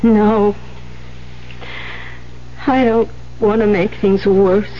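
An actress playing an earnest, hesitant young nun in a 1970s radio drama, speaking in a halting, unsure way. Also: female voice, mid-range voice, level tone. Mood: sad, resigned. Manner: halting, unsure, repeats words. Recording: muffled, hissy 1970s radio recording with hum.

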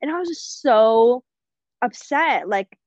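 A young woman, a podcast guest, telling lively stories in casual chat and laughing as she goes. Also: fairly high pitch, slow, no room sound, lo-fi sound. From United States. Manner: lively storyteller, laughing through her anecdotes.

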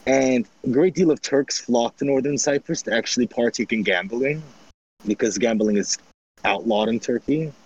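A man of Armenian background calmly recounting a trip, speaking in a measured way with frequent restarts. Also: medium pitch, slightly rough, dull audio.

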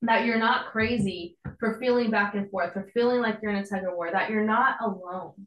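Slightly hoarse young woman preaching. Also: medium pitch, a little fast, roomy sound, muffled recording. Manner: encouraging, conversational preaching with casual asides. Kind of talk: devotional sermon.

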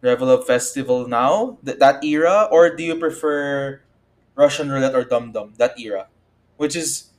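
A young man co-hosting a casual podcast, picking through his points in halting, measured phrases. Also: mid-range voice. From Philippines. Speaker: podcast co-host. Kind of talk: casual analytical chat.

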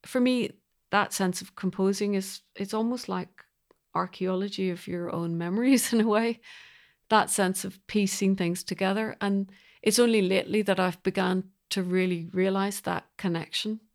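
A woman's voice: mid-range voice, fairly even intonation, moderate pace. A woman with a Northern Irish accent talking reflectively in an interview, thoughtfully searching for words in short phrases. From Northern Ireland. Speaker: composer being interviewed.

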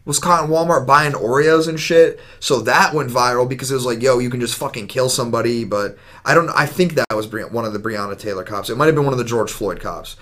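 A man co-hosting a podcast, talking in a rambling, profane way and filling every pause with "like". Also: mid-range voice, a bit bright. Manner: rambling, profane, heavy use of "like". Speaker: podcast co-host. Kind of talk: casual podcast chat.

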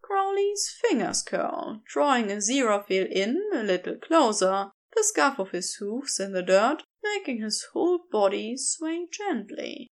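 A woman reading scripted fiction as a podfic narrator, in an expressive, deliberate storytelling manner. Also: fairly high pitch.

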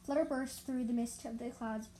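A woman with a fairly high voice narrates animal stories in an amateur way. She stumbles, repeats herself and steps out to explain. There is audible background noise on the recording. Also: steady tone, warm tone. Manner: halting, stumbles and repeats words. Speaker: storyteller reading own animal stories.

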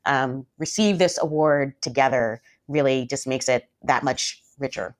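Low-voiced reflective woman dean. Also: unhurried. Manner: thoughtful, frequent fillers and restarts. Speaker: law school co-dean. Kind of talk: reflective interview answers.

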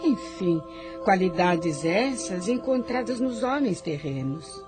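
A woman's voice: lowish voice, lively intonation, slow pace. A woman playing a solemn, wise spiritual guide who narrates moral counsel in a radio drama. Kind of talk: solemn religious scripted narration over music. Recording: voice over clear background music.

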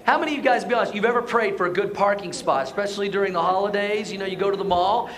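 This is a man giving a Sunday sermon to his congregation. His voice is hoarse and sits high, and he keeps the tone chatty. He often asks his listeners to answer back. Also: racing, fairly bright tone. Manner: conversational, asks the congregation to respond. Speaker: pastor. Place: church sanctuary.

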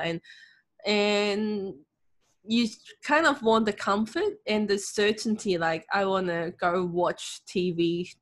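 A middle-aged woman from Hong Kong with a Hong Kong English accent, chatting in an interview, lively, often laughing, with frequent ums. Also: on the low side. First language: Cantonese. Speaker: guest, author and confidence coach. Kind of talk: casual interview talk.

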